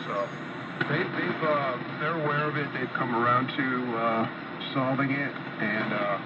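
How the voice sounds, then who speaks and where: A man chatting about his equipment as a ham radio operator, hesitant, with many fillers and some throat clearing. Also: medium pitch, unhurried. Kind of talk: casual radio chat. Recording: tinny radio receiver with faint static.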